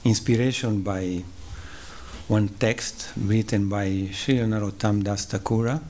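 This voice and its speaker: A man from southern Switzerland whose first language is Italian, giving a spiritual lecture at a temple in English with an Italian accent. He speaks haltingly, pausing, using fillers and clearing his throat. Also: on the low side, fairly even intonation, darkish tone, a little hiss or hum. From Ticino, southern Switzerland. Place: temple hall.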